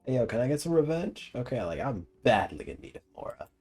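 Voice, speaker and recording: A young male streamer with a mid-pitched voice. He talks casually and jokingly in slang with some swearing, over faint game music. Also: big pitch swings, fast talker, a little mellow. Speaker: gaming streamer. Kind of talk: casual game reaction commentary.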